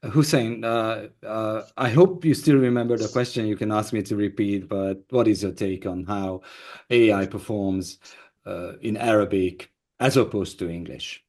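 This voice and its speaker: A man's voice: on the low side, moderate pace, a little mellow. A man moderating a panel discussion in a casual, conversational way.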